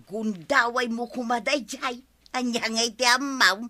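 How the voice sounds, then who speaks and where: A woman's voice: on the high side, measured pace, sharp tone. A woman playing a gossipy village neighbour who tattles, in an Ilocano radio comedy drama. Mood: scandalized.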